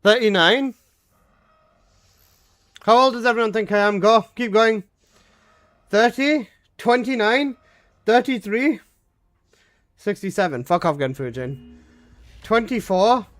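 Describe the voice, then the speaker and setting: Young man, twenties, game streamer, casual joking chat, swears a lot, high lively voice. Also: slow pace, long pauses. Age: 20s.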